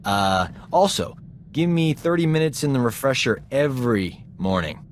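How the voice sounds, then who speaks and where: A cocky field doctor, played by a man, vain and flippant, breezy and teasing. His mid-range voice bounces around a lot in pitch. A faint hiss runs behind him. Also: animated, normal speed, lightly acted. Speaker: ship's medic. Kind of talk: video game voice acting.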